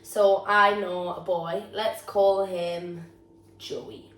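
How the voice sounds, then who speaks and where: A woman's voice: fairly low pitch, steady tone, drawn-out words, fairly bright tone. A young woman with a Geordie accent, chatting frankly and casually as a podcast co-host, a drama student. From North East England. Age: young adult. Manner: chatty, frank, swears freely. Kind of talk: casual chat. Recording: echoey room, mic far off, faint noise.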